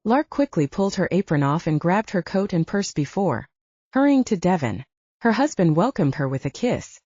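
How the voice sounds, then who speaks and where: A woman's voice: on the low side, big pitch swings, moderate pace, dark tone, no echo. A woman reading a scripted fiction audiobook as narrator, on a slightly dull recording.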